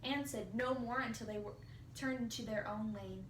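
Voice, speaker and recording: A woman giving an expressive dramatic reading of literary narration. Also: fairly high pitch, moderate pace. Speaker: dramatic reader. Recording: roomy, distant mic, faint hiss.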